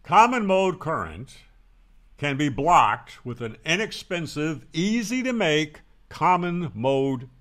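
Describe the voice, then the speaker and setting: A man's voice: fairly high pitch, big pitch swings, slow pace, fairly bright tone. An American man in his sixties explaining to camera in a conversational, emphatic and wry way, close on the mic. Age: 60s. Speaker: host of a ham radio tutorial channel. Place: small home room with guitars, indoors. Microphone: close broadcast mic on a boom.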